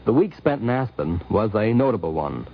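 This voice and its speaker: A man's voice: on the low side, sing-song, a little fast, slight background noise. An American man reading scripted documentary narration in a formal 1950s radio style. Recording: dull 1950s radio recording.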